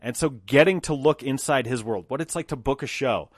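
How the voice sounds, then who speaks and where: A man's voice: medium pitch, brisk, no echo, clean studio sound. A man from Colorado hosting his podcast alone, talking unscripted, swearing freely and acting out mock quotes. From Colorado, United States. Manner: profane, animated, acts out mock quotes. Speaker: podcast host. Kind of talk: unscripted solo monologue.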